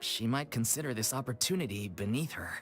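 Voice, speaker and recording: An earnest, polite theater troupe leader, voiced by a man talks through worries about his struggling company. His delivery is lively, rising and falling in pitch, and polite with a slightly anxious edge. Game music plays underneath. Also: fairly quick, close to an everyday voice. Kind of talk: video game dialogue.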